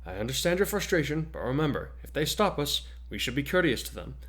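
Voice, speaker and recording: A man's voice: on the high side, sing-song, fast talker, fairly bright tone, voice pushed up. A man voicing an earnest, courteous teenage hero student with firm, formal speech in a story reading.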